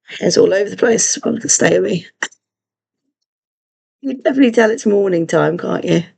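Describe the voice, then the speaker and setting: A woman's voice: medium pitch, normal speed, creaky, darkish tone, slightly rough. A woman hosting a live online history lesson, chatty with many fillers and self-corrections, her voice a little throaty today. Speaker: online history tour host.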